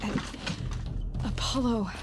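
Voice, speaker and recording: A woman's voice: slow, over music, lightly acted. An actress playing a curious, determined young woman hunter in a video game, musing aloud and full of questions. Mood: puzzled, searching.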